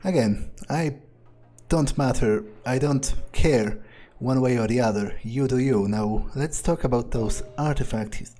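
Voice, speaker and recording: A man's voice: mid-range voice, a little slow, warm tone. A man musing in character as he plays through a game, hesitantly thinking out his next steps. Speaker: gamer playing in character.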